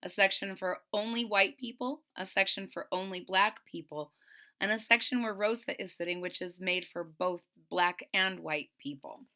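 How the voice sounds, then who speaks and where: A woman's voice: mid-range voice, normal speed, pushed and bright. A woman reading a picture book aloud and stopping to explain it simply to children. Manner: clear, explaining asides for children. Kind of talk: storybook read-aloud with explanations.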